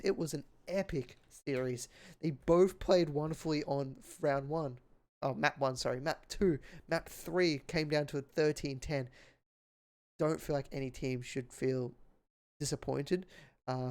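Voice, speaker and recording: A man's voice: fairly high pitch, a little mellow. A man doing live play-by-play esports commentary, earnest and appreciative, his voice a little strained and tiring. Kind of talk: live game commentary and sign-off.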